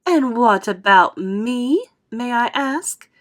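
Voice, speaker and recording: A woman's voice: a little high, expressive, takes it slowly, fairly bright tone, voice pushed up. A woman voicing a proud, self-assured female spider in a storybook.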